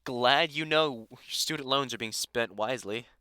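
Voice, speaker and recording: A man playing a gruff older vampire hunter in a roleplay audio drama, a fond, teasing mentor with dry sarcasm who grows halting when sentimental. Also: mid-range voice, pushed and bright, no echo, lightly acted. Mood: exasperated affection.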